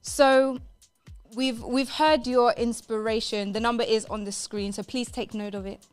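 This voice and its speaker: A woman's voice: on the high side, fairly even intonation, a little slow, clear, resonant tone, faint music behind. A woman presenting a live radio show in an upbeat, emphatic way, repeating phrases for stress.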